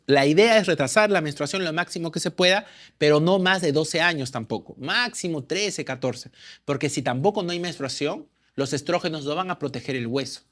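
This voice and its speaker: A man's voice: a little high, fairly bright tone. A man tutoring medical students, walking through exam questions and answering his own questions.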